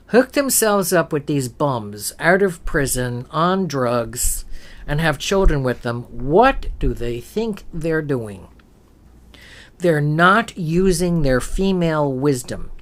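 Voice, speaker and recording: A woman's voice: low voice, sing-song, takes it slowly. A woman hosting a spiritual talk show, making her points with emphatic, preachy rhetorical questions.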